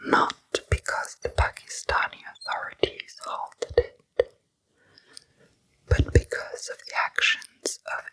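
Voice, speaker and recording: Slow whispering woman, ASMR narrator. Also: poor audio. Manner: slow, soft unvoiced whisper throughout. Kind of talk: whispered true crime narration.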